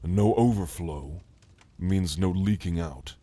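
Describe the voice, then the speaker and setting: A man voicing a calm, scholarly orc shaman and gentle mentor, speaking in a soothing, measured, formal way in an ASMR roleplay. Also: deep voice, breathy edge, dry recording, exaggerated voice. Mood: calm, hypnotic.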